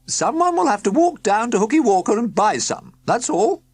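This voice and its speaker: A reader voicing an eccentric middle-aged aunt who chatters and grumbles in a shrill, high voice. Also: female voice, very animated, normal speed, darkish tone, slightly rough, no room sound. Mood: grumbling chatter.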